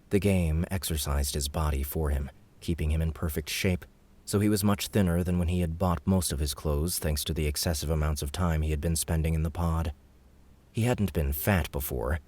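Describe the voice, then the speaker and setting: A man narrating an audiobook in a measured, even storytelling voice. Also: a bass voice.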